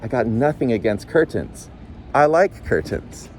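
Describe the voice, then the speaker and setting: A man's voice: medium pitch, big pitch swings, unhurried, slight background noise. A man voicing a baffled, exasperated but loving working-class husband in a storybook reading, protesting and pleading. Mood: placating.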